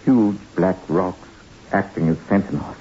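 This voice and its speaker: An actor playing a distraught male castaway in shock, halting and dramatic, in an old radio horror drama. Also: sits low, mellow. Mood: haunted recollection. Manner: halting, dramatic, swinging from brooding to pleading. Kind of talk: 1960s radio horror acting. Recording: muffled, noisy old radio recording.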